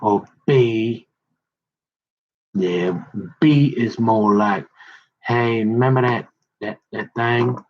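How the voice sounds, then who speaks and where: Husky man rambling to his viewers. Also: medium pitch, drawn-out words, pauses often. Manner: meandering, restarts often, talks to viewer. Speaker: vlogger. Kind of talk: rambling casual monologue. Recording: dull lo-fi audio.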